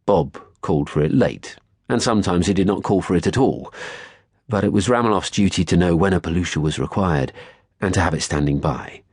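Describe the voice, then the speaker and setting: A man reading scripted first-person literary fiction as an audiobook narrator, measured, articulate and wry. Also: low voice, husky, no echo, studio quality.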